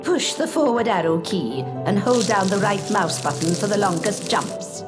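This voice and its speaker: A woman's voice: mid-range voice, expressive, natural voice. A woman playing a stern older magic teacher who gives formal, precise instructions in a video game. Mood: formal, instructive. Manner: formal, measured, schoolmistress-like. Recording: game audio with music behind.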